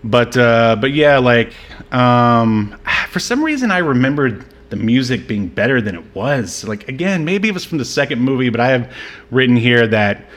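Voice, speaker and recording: A man's voice: mid-range voice, big pitch swings, moderate pace, a bit bright. A man co-hosting a film podcast, chatting casually in a hesitant, rambling, joking way. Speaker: podcast co-host reviewing a film. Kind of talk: casual film-review chat.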